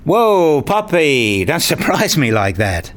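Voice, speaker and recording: Casting: male actor as tired, exasperated husband and dad for radio drama, explanatory and placating, very animated pitch. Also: slow. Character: weary, exasperated husband and father, narrating his domestic life. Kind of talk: radio play dialogue and first-person narration.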